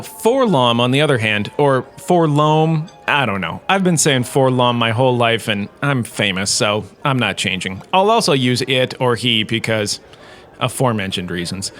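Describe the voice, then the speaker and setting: An actor playing a vain, self-important professor and holodrama star, giving a wry, chatty comic lecture. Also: male voice, mid-range voice, fairly bright tone.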